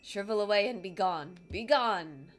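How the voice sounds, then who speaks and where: A woman's voice: medium pitch, animated, close to an everyday voice. A woman doing a haughty ancient seeker. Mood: scornful. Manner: loud, slow, theatrical proclamation in archaic speech. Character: haughty ancient godlike seeker, scornful, grandiose. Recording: game music under the voice. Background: game music.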